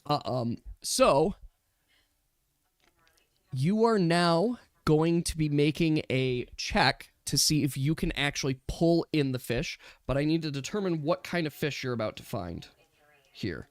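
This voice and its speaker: Higher-voiced man running a tabletop game. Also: expressive, unhurried, dry recording. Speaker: game master. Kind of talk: casual tabletop game narration. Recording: dry close mic with music behind. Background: music.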